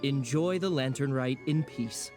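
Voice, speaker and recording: A man voicing a calm dutiful guard captain. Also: medium pitch, over music, close to an everyday voice. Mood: reassuring. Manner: measured, formal, reassuring. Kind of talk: video game voice acting.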